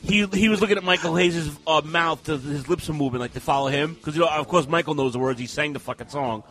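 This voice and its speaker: A man co-hosting a casual wrestling podcast, talking in a rambling, animated way with many restarts. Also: on the high side, fast talker. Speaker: podcast co-host.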